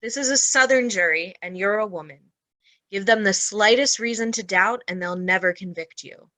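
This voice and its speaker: A woman's voice: medium pitch, normal speed, pushed and bright, close to an everyday voice, poor audio. A woman playing a kind, earnest defense lawyer who implores her client in an old-time radio drama. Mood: urgent imploring.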